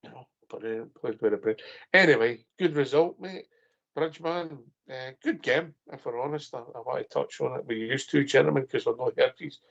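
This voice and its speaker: A man's voice: mid-range voice, moderate pace, vocal fry. A man hosting a fan radio phone-in, teasing his guests and laughing often. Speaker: host. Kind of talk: casual phone-in chat. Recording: muffled phone-in line.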